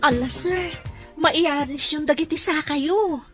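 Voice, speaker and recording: A woman's voice: high-pitched, slow. A woman acting in a radio drama as a respectful, encouraging female therapist, with an Ilocano accent. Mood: gently encouraging. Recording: music behind the voice.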